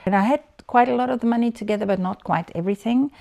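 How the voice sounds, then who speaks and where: A woman giving a personal faith testimony in a relaxed, conversational way, full of small fillers and restarts. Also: on the high side, fast talker, creaky. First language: not Dutch. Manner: conversational, many fillers and restarts, laughs often. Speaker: church member giving testimony.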